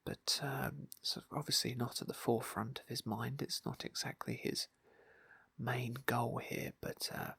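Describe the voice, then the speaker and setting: A man hosts an ASMR roleplay playthrough of a fantasy game. His voice is very breathy and whispery, with creaky fry and a bright, sharp edge. He talks softly and hesitantly, in polite, wordy asides, close to the microphone. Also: mid-range voice, steady tone, unhurried, no echo. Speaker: host narrating a game playthrough.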